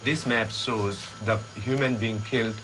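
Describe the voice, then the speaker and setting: A low-pitched man explains research findings in an interview. His phrasing is hesitant and halting. Clear noise sits behind him. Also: fairly even intonation, a bit bright, low-quality recording. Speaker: wildlife researcher.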